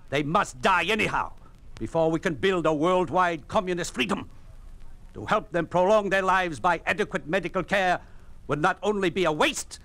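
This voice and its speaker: A man playing a cold, dogmatic communist hospital doctor in a 1950s radio drama, lecturing in a pompous, measured way. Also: sits high, a bit bright. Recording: vintage 1950s radio broadcast.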